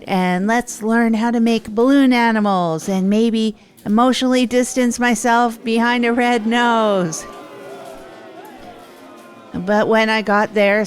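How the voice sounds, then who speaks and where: A woman's voice: mid-range voice, clean tone, close to an everyday voice. Earnest, rambling woman playing a hapless comic storyteller. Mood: eager, nervous performing. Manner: rambling, earnest, self-deprecating. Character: Tog, hapless adult storyteller and failed stand-up comedian. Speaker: narrator and radio host. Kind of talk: improvised comic monologue in an audio drama.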